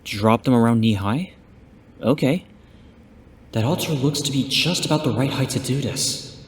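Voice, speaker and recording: A man's voice: mid-range voice, normal speed, slight background noise, lightly acted. A man playing an eager, respectful young martial arts pupil, earnest and polite, in an audio drama. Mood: curious. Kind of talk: fan audio drama acting.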